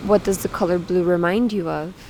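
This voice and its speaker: A woman leading a guided meditation, giving soothing instructions with frequent pauses. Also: fairly low pitch, steady tone, vocal fry, a little mellow, a little hiss or hum, poor audio. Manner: slow, pausing, soothing instruction.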